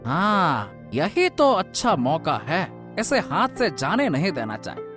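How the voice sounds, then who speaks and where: A man playing a scheming, flattering royal minister in an animated folk tale, with an oily, deferential, theatrical delivery. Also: a little high, slow, faint music behind, exaggerated voice. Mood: sly. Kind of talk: animated Hindi moral-story voice acting.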